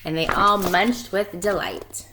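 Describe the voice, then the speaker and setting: A woman's voice: mid-range voice, steady tone, unhurried, noisy background. A woman reading a children's storybook aloud and talking informally to pupils like a teacher.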